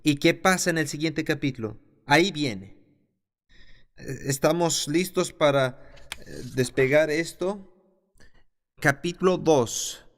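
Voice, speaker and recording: A man with a slightly high voice teaching a Bible class. He reads scripture aloud and then breaks it down in his own words, restarting a phrase here and there. His pace shifts between slow and quick. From the south of his country.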